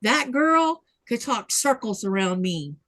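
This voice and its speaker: Chatty woman with a higher voice. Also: sing-song, slow pace, a bit bright, dry recording. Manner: chatty, rambling storyteller, reads viewer comments. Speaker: livestream host. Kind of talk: casual chat during a sewing livestream.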